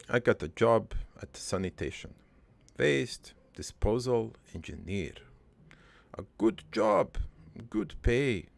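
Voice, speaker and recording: Man playing Joey, a slow, gravelly rambling dad. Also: medium pitch. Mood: deadpan. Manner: rambling, halting, deadpan storytelling. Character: rambling, crude, wistful foreign-born immigrant father named Joey. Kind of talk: improvised character monologue for a cartoon.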